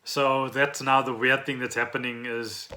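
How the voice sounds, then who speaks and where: South African man with a bright, forceful voice. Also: medium pitch, flat delivery, normal speed, slight background noise. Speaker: podcast co-host. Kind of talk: casual chat about trending news.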